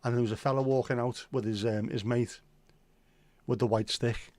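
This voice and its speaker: A man's voice: mid-range voice, steady tone, normal speed, darkish tone, dry recording. A middle-aged man from Liverpool with a Scouse accent, chatting casually and jokily on a livestream as host, swearing freely as he answers viewers. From Liverpool, England. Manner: banter-filled, sweary, jokey. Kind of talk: casual livestream chat reading viewer comments.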